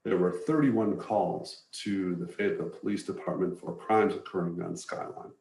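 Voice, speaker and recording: A man giving an informational slide presentation to residents in a measured, matter-of-fact, explanatory way. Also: low-pitched, slight background noise. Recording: roomy echo, distant from microphone.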